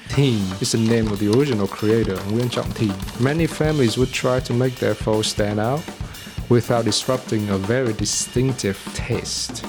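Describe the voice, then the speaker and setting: A young man from Vietnam with a Vietnamese accent, reviewing restaurants in a conversational, opinionated way with wry asides. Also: lowish voice. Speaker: food reviewer. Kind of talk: food review monologue.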